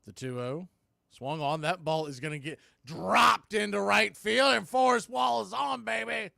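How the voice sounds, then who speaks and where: A man's voice: high-pitched, unhurried, creaky, pushed and bright, no room sound, clean studio sound. A man working as a baseball announcer, giving live play-by-play commentary mixed with score updates in clipped phrases.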